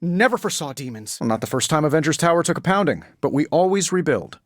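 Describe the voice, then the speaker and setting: A wisecracking billionaire superhero dude for a game, sarcastic and cocky, kinda high voice, really dry close studio sound. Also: male voice, very animated, quick pace, no room sound. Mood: dry, joking. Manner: glib, sardonic, conversational delivery. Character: witty, cocky male billionaire inventor superhero. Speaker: video game voice actor. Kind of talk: video game character voice lines.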